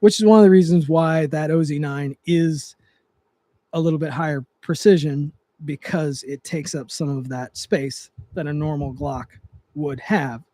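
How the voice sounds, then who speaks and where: A man's voice: on the high side, steady tone, unhurried, mellow, no echo. A young man hosting a casual livestream, rambling with frequent restarts and fillers, seated in a chair at home on a close mic, his voice going dry. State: voice getting dry. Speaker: livestream host, trigger tuner.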